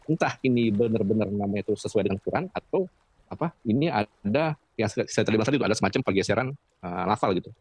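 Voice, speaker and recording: A man's voice: on the low side, expressive, fast, a little mellow, slightly rough, no room sound. A man in an online debate answering a question hesitantly, with many fillers.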